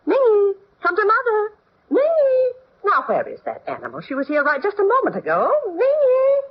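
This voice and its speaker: High, swooping, gushing society lady. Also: wildly swooping pitch, moderate pace, exaggerated voice. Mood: distressed. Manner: grand, dramatic, exclamatory. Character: gushing, theatrical society lady doting on her lapdog. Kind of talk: 1940s radio acting. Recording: muffled old radio recording.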